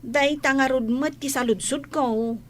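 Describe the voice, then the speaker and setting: A woman's voice: high-pitched, steady tone, measured pace. A woman playing a blunt, grumbling household helper in a radio comedy, with an Ilocano accent. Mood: annoyed. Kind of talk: radio comedy drama acting.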